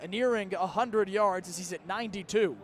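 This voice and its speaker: A young man calling live college football play-by-play for radio in crisp, clipped descriptive phrases. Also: sits high, normal speed. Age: young adult. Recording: outdoor stadium broadcast with background noise.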